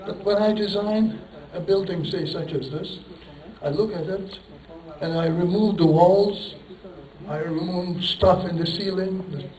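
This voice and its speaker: Male lecturer, rambling and self-deprecating, fairly high voice, distant in an echoey hall. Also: a little mellow. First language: Turkish. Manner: rambling, self-deprecating, poses rhetorical questions, chuckles. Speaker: engineering professor giving a lecture. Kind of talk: conference lecture.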